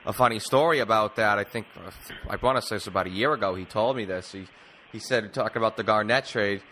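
Bright punchy guy hosting a sports podcast, casual, kinda circles around his questions. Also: fairly low pitch, quick pace, a little hiss or hum. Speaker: sports podcast host, interviewer.

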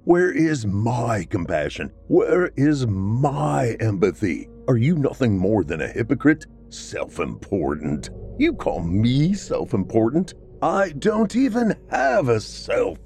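A man's voice: mid-range voice, sing-song, slow, few pauses, darkish tone, faint music behind, voice pushed up. A man voicing a brooding, self-pitying vampire man three centuries old, melodramatic and anguished. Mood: bitter.

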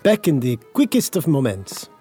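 An actor voices a theatrical waiter who bows and scrapes with a put-on French accent and nervous stammers. His pitch leaps around expressively, with faint music underneath. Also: huge pitch swings, normal speed, warm tone. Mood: fawning. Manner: stammering, overly polite, theatrical. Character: male café waiter faking a French accent. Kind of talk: comedic audio drama.

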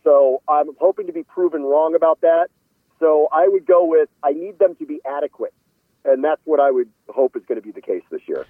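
Phone-like, higher-pitched man talking football. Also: lively intonation, brisk, no room sound. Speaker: sports analyst interviewed as a guest. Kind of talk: conversational football analysis. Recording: phone-like radio call audio.

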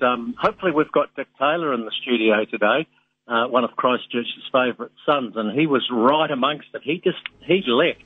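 A middle-aged man with a New Zealand accent, a radio host calling in as a guest, talking in a rambling, halting way with many fillers. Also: mid-range voice, dry recording. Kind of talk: casual radio chat. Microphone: phone line. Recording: tinny phone line.

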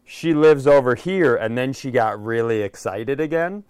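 Smooth-voiced man, wry casual podcast talk. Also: mid-range voice, lively intonation, normal speed, a little mellow, no echo. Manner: opinionated, wry, rambling storyteller. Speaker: podcast co-host. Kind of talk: casual comic chat.

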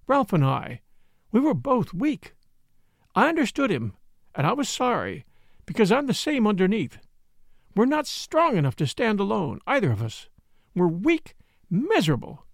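A man's voice: high voice, wildly swooping pitch, unhurried, vocal fry, long pauses, no room sound, studio quality, voice pushed up, exaggerated voice. A man voicing a proud, troubled young upper-class woman in an audiobook mystery, speaking intensely in short clipped phrases. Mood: bitter, despairing.

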